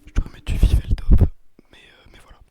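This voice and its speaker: A young man speaks in a breathy whisper, very near the microphone. He chats casually in ASMR style, rambling and hesitating in a self-deprecating way. Breath sometimes puffs on the mic. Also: brisk. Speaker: ASMR video creator. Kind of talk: ASMR, casual chat to viewers. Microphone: close, sensitive microphone with occasional breath puffs and bumps.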